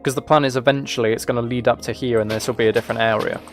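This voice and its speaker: A man's voice: mid-range voice, steady tone, very fast. Man livestreaming, music behind. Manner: rambling, thinking aloud. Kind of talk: casual chat while playing a game.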